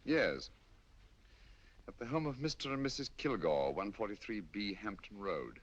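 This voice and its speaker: A shrewd, courteous detective. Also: male voice, on the low side, sing-song, a little hiss or hum. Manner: measured, courteous, dryly confident. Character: shrewd, calm male detective, middle-aged. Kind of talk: 1940s radio acting. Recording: dull old radio audio.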